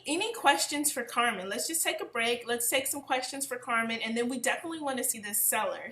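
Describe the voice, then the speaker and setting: A woman's voice: fairly high pitch, bright, forceful tone, reverberant. A woman hosting a wine class on a video call, talking in an upbeat, chatty way. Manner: chatty, upbeat, many fillers. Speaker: host.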